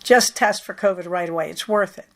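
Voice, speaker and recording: A woman's voice: on the low side, fairly quick, creaky. A middle-aged woman hosting a knitting podcast, chatting through her projects in a wry, matter-of-fact way while she recovers from a cold. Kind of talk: casual chatty project update.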